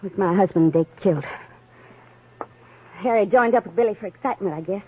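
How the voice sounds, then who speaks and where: An actress playing a weary, anxious frontier woman with plain folksy speech and a husky voice in a 1950s radio western. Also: mid-range voice, brisk, lightly acted. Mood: confiding, tense. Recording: narrow vintage 1950s radio recording.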